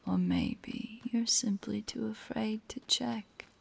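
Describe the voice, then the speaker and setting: A woman playing a predatory, teasing spider-woman monster in a horror audio roleplay, with a breathy, whispery voice and a taunting, menacing manner. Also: a little high, fairly even intonation, heavily acted. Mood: playful menace. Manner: slow, taunting, playfully sinister.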